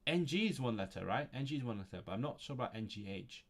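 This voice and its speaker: A man in a casual livestream works through a textbook, reading passages aloud and then musing on them in his own words. He sits at an ordinary middle pitch and chuckles to himself often. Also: lively intonation, fast. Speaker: language learner streaming his study session.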